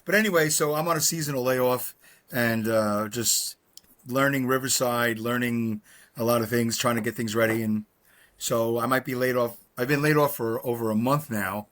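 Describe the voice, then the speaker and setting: A man's voice: mid-range voice, moderate pace, a bit bright. Rambling sixty-something New man. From Brooklyn, New York. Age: 60s. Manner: rambling, self-deprecating, many fillers. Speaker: podcast co-host. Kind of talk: casual chat. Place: his home. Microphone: video call.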